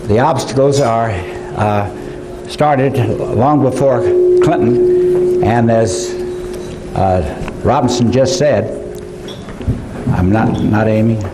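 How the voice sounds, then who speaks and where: An older man giving a conference lecture, halting, with many fillers and restarts. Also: mid-range voice, heavy background noise. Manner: slow, halting delivery with frequent fillers. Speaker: conference speaker. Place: conference hall.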